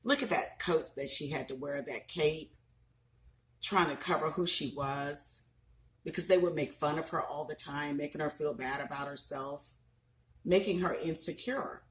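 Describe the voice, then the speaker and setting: A woman in her thirties reading a children's picture book aloud, pausing to ask the listeners questions. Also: deep voice. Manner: expressive, asks listeners questions.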